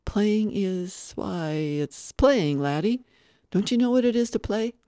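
A woman's voice: medium pitch, lively intonation, takes it slowly, warm tone, no echo, clean studio sound, voice pushed up. A woman playing a hearty, playful grown-up visitor in a storybook, with jovial exclamations and old-fashioned turns of phrase.

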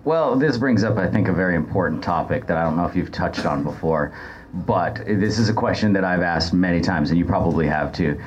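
A man's voice: sits low, brisk, a little mellow, lo-fi sound, a little hiss or hum. A man chatting casually as a podcast guest, with a crude, joking way of talking. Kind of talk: casual chat.

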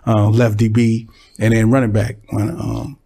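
A man's voice: on the low side, level tone, measured pace, warm tone. A man from California, a guest on a podcast, talking in a laid-back, easygoing way. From born in Texas, raised in California. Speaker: guest, music artist. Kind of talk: casual interview chat.

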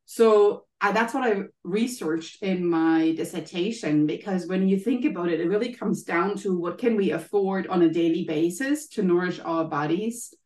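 A woman's voice: fairly low pitch, normal speed, few pauses, a little mellow, roomy sound. A German woman with a German accent, a guest coach explaining things in casual interview answers, with frequent ums and maybes. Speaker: guest, health and happiness coach.

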